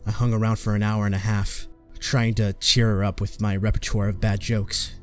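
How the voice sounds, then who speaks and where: A man's voice: on the low side, level tone, normal speed, close to an everyday voice. A man playing an earnest, guilt-ridden young superhero in a comic-book fan dub, dramatic, with music behind. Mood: reflective.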